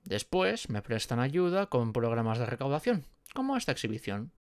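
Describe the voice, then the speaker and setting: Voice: mid-range voice, big pitch swings, moderate pace, a little mellow, dry recording, studio quality. A performer with an accent from Spain voicing a nervous, apologetic, friendly gorgon in a roleplay audio drama, hesitant and rambling as if talking to one listener. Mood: sheepish, explaining. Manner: hesitant, rambling, muttering to self.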